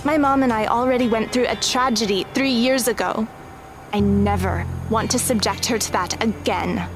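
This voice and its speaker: A woman's voice: on the high side, steady tone, moderate pace, close to an everyday voice. A woman playing a blunt, guarded teenage girl in an audio drama, firm and frank. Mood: firm, annoyed. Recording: music under the voice.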